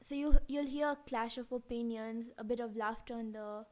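A woman's voice: on the high side, fairly even intonation, moderate pace, a little mellow. A young woman with a mixed, Kenyan-influenced accent co-hosting a casual podcast, chatty and giggly, full of "like" fillers. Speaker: podcast co-host. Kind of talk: casual chat.

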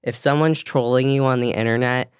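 A young woman with a low voice and a slight lisp, co-hosting a podcast in a candid, self-deprecating way and laughing often. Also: steady tone, a little fast, clean tone, no echo, clean studio sound. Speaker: podcast co-host. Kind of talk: casual podcast chat.

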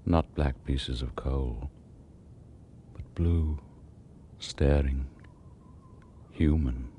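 An actor playing a sinister, wry British storyteller, narrating radio horror with deliberate dramatic pauses and dry relish. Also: male voice, extremely low pitch, mellow, a little hiss or hum, full character voice. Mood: macabre.

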